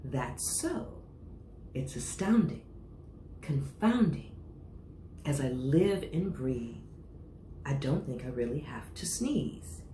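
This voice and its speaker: A woman voicing a huge, easily frightened male elephant in a squealing high voice, reading a storybook aloud. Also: very animated, very slow, pauses often, mellow, full character voice. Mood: amazed. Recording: roomy echo, faint noise.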